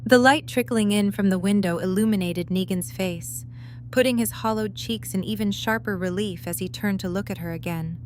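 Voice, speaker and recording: A woman's voice: mid-range voice, normal speed. Woman narrating, faint background hum. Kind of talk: scripted story narration.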